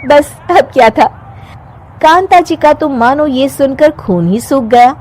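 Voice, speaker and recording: A woman's voice: sits high, a little slow, a little mellow, soft background music. A woman narrating a scripted comic story as its storyteller.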